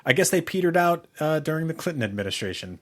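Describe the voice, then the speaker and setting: A man's voice: fairly high pitch, big pitch swings, fast talker. A man co-hosting a podcast, chatting casually in a rambling, enthusiastic way with plenty of swearing. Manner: rambling, enthusiastic, profane storytelling. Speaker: podcast co-host. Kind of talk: casual chat.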